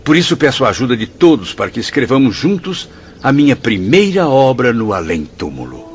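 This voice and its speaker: An actor playing a melancholy, courteous writer spirit in an audio drama, speaking in a formal, earnest, literary way. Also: male voice, medium pitch, very animated, a little fast, slight background noise, natural voice. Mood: earnest, humble. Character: Camilo, melancholy, courteous convalescent writer spirit, male narrator. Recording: dull audio with music behind.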